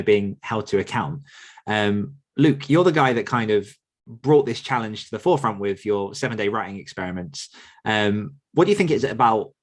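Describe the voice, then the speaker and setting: A man hosting a podcast, asking guests questions in a casual interviewing style. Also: on the low side. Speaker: podcast host.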